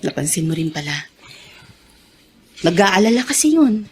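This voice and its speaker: A woman acting a worried, devoted adult daughter in a Filipino radio drama, earnest and gentle. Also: lowish voice, sing-song, normal speed, slightly rough, full character voice. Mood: concerned, surprised.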